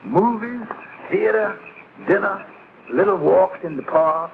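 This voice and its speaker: A friendly sitcom storyteller, speaks in a high, very gravelly voice. He narrates in the manner of 1950s American television, on a thin, noisy vintage soundtrack. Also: slow pace, narrow, tinny audio, full character voice. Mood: genial storytelling.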